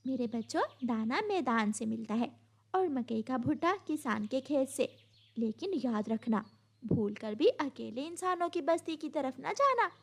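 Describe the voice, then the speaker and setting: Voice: sits high, lively intonation, a little slow, gravelly, heavily acted. A woman playing a caring mother sparrow in a storytelling cartoon, speaking in a motherly, instructive way. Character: caring mother sparrow gently teaching her little chicks. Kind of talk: Hindi storytelling cartoon voice acting.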